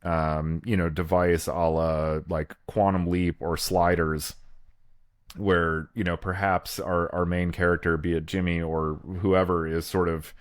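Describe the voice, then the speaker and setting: A man co-hosting a podcast, talking casually and haltingly as he thinks out loud. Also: deep voice, little pitch movement, no room sound, studio quality. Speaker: podcast co-host. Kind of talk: casual chat.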